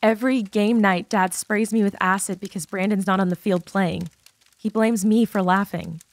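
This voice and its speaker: A woman's voice: mid-range voice, fairly even intonation, normal speed, dry recording, natural voice. Audiobook drama role: abused teen girl, mid-range voice, painful confession; woman performer. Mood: hurt, steady.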